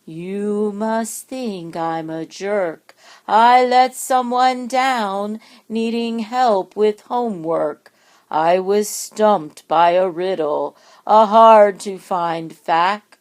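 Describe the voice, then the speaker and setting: A woman voicing a restless, guilt-ridden female library ghost in a storybook, with drawn-out, plaintive delivery. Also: mid-range voice. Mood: plaintive, guilty.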